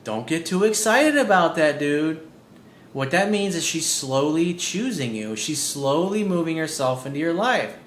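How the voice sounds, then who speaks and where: Blunt, profane man in an echoey room. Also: unhurried. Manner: blunt and profane, animated, repeats key points. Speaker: dating coach answering listener emails. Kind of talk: casual advice monologue reading out emails. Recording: roomy echo with faint low rumble.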